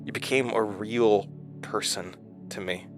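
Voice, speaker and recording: An actor playing a haunted, weary, wry man who confides his story haltingly in a horror audio drama. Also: lowish voice, fairly even intonation, over music, close to an everyday voice. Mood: wistful, hesitant. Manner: hesitant, confessional, halting. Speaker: lead character and narrator of a horror audio drama. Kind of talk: horror audio drama acting, narration and intimate dialogue.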